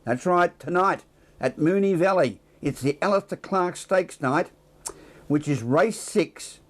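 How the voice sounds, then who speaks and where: An Australian man reads local news and weather in a fairly high voice. His delivery is scripted, with casual asides dropped in along the way. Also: a little slow. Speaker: news presenter reading the weather.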